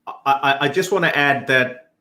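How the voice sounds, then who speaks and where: A man's voice: mid-range voice, moderate pace, bright, forceful tone, echoey room. A man joining a livestream as a guest, talking casually with stammering restarts. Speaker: guest, YouTuber. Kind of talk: casual chat.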